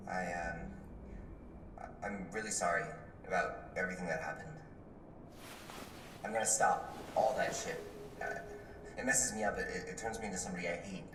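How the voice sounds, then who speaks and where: Echoey and set back from the mic amid clear noise, a man plays a remorseful young son. His voice is low, halting and sheepish, with stammered apologies in naturalistic game acting. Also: natural voice. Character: remorseful grown son, vulnerable recovering addict. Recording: distant, echoey, noisy ambience.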